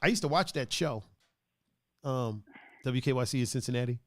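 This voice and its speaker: A man's voice: medium pitch, normal speed, no echo, clean studio sound. A man from Brazil hosting a casual chat over a video call, loose and joking with profane banter. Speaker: podcast host.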